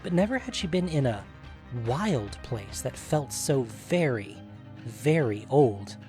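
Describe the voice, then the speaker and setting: A man's voice: medium pitch, big pitch swings, slow, darkish tone. A man narrating a scripted fantasy story as the reader of a story podcast. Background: music.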